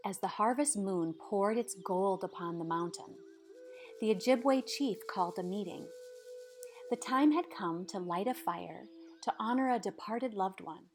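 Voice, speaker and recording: A woman narrating a storybook aloud in a measured, expressive way, with dramatic pauses. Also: medium pitch. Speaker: storybook reader. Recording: music behind the voice. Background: background music.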